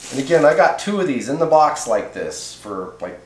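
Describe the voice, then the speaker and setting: A man's voice: medium pitch, very animated, normal speed, a little hiss or hum. A man giving a casual, rambling, off-the-cuff product review in a laid-back, colloquial way. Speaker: host of CB radio review videos. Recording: echoey room sound.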